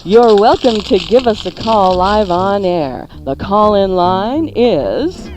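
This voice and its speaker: A woman's voice: mid-range voice, animated, slow pace, slight background noise. A woman hosting a live morning radio show with a theatrical, playful announcer's delivery. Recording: loud music behind the voice. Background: music.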